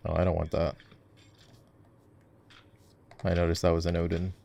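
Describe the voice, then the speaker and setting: A man acts out an easygoing gamer boyfriend with a fairly deep voice full of vocal fry. He chats casually and affectionately, teasing his partner as he coaches in a roleplay over gameplay. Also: completely flat delivery, normal speed, mellow. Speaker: voice actor. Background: keyboard typing and mouse clicking.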